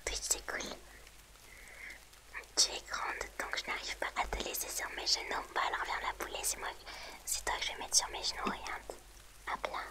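A woman streamer whispering softly and gently to her viewers in an ASMR livestream, close to the mic. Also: normal speed, long pauses, low-quality recording.

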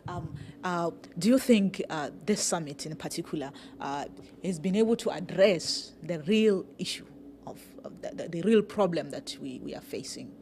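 A man interviewing a guest at an event, asking long halting questions with many fillers and restarts. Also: a little high, expressive, gravelly, darkish tone, a little hiss or hum. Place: event venue, seated on sofa.

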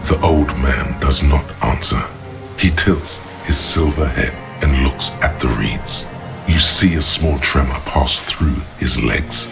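Gravelly, extremely deep inner voice. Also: male voice, slow pace, heavily acted. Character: brooding male inner voice narrating a detective's thoughts. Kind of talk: scripted game narration. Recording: loud music behind the voice. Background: music.

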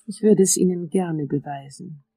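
Casting: composed, seductive dentist, lowish mellow voice, calm radio-play delivery. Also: female voice, moderate pace, breathy edge, dry recording. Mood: intimate, reflective. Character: composed, seductive female dentist fascinated by teeth.

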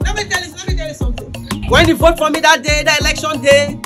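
A man's voice: very high-pitched, quick pace. A man with a Nigerian accent playing a boastful, clownish election candidate making a bombastic, repetitive campaign speech in a comedy skit. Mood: bombastic, insistent. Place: open hall with small crowd, camera. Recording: loud music behind.

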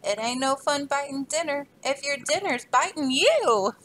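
A grumbling old shark from a children's storybook, put on by a woman reading aloud. He mutters his lines in a raised, strained tone, sulky and beaten. The voice sits higher than a shark's should. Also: expressive, measured pace, noisy background. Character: old grumpy male shark, gruff loser.

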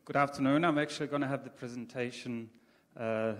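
A man, giving a conference talk on stage into a handheld mic, measured and thoughtful with frequent ums and restarts. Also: medium pitch, steady tone, fairly bright tone. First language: English. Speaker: conference speaker. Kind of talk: conference presentation. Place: dark theatre stage with projection screen. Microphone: handheld microphone. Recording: long reverb of a large theatre hall.

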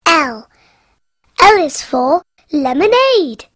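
A cheerful little cartoon piglet girl, with a very high, bouncy voice that leaps all over. She recites letters slowly and carefully in a giggly sing-song over soft music. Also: very animated, full character voice. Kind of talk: cartoon alphabet lesson.

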